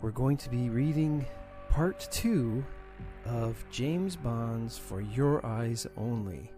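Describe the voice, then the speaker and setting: A man's voice: mid-range voice, animated, takes it slowly, over music. A man hosting and narrating an amateur audio drama. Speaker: channel host and narrator.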